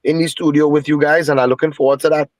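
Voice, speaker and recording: A man from Trinidad with a Trinidadian accent, a guest telling a lively story with Caribbean phrasing in a casual interview. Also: on the high side, fast talker, crowd noise. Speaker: guest musician.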